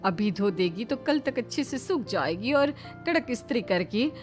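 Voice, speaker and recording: Cartoon mother-in-law acted by a woman: older, demanding, quarrelsome, fairly high voice, emphatic delivery, music underneath. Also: very animated, normal speed. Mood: coaxing. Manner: emphatic, coaxing, imperious.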